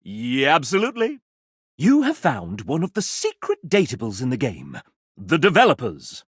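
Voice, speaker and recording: A vain, flamboyant gentleman mascot from a video game, sassy and grandiose. The voice leaps wildly up and down, takes its time over flowery phrases, and has a husky edge, recorded very dry and close. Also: medium pitch, wildly swooping pitch, moderate pace, dry recording. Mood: smug, cheeky. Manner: pompous, flamboyant, old-fashioned gentlemanly phrasing. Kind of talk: video game character dialogue.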